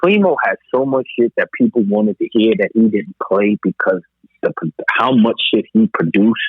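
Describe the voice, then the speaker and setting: A man's voice: lowish voice, sing-song, moderate pace, breathy edge, no echo. An American man in a casual podcast debate about music, speaking emphatically and repeating himself for stress. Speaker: podcast host discussing music. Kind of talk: casual hip hop debate. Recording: muffled narrow radio-like sound.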